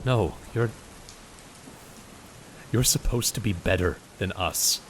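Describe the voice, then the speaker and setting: A man's voice: fairly low pitch, takes it slowly, slight background noise. An actor playing a frustrated townsman who confronts and reproaches the lead in a fan audio drama. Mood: hurt. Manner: calling out, reproachful.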